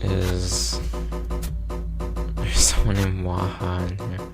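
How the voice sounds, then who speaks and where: Low, gravelly, calm-voiced man. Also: slow pace, loud music behind the voice. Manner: soft-spoken, rambling, with frequent ums and muttered curses at the game. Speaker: game developer streaming his own game. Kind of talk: casual live-stream commentary.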